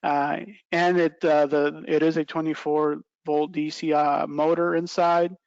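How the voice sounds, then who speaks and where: A man's voice: on the high side, flat delivery, unhurried, creaky, darkish tone, smooth, dry recording, highs cut off. A middle-aged man giving an informal product presentation on a video call, explaining step by step and checking in with "right" and "okay". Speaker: product trainer presenting a webinar. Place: small plain indoor room, video call.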